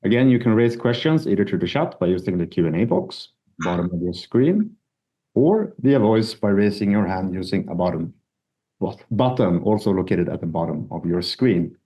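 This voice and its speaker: Very mellow, low-voiced male call moderator. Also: very animated, moderate pace. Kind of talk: formal earnings call moderation.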